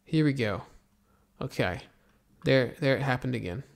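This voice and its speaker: Young American teacher from Florida, laid-back Q&A delivery, a little fry in the voice. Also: male voice, mid-range voice, moderate pace. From Florida, USA. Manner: relaxed, rambling, many ums and restarts. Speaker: English teacher answering viewer questions. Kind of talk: casual Q&A, reading comments aloud.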